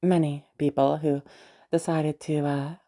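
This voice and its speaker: A woman playing a teasing, flirtatious, unhinged patient in a roleplay audio drama, rambling hesitantly with many pauses and hums. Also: low-pitched, little pitch movement, dark tone. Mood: wistful confession. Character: teasing, rambling, flirtatious crazy female patient confessing to her doctor. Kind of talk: roleplay audio drama, intimate one-sided conversation.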